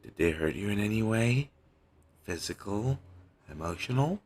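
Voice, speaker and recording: A caring talent manager played by a man in an intimate audio roleplay, with a gravelly, husky voice. He coaxes and gently teases, his intonation rising and falling freely. The amateur recording is low in quality. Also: animated, moderate pace. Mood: concerned, coaxing. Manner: coaxing, gently teasing. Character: caring, teasing male talent manager soothing a troubled actor. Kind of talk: scripted audio roleplay, one-sided intimate conversation.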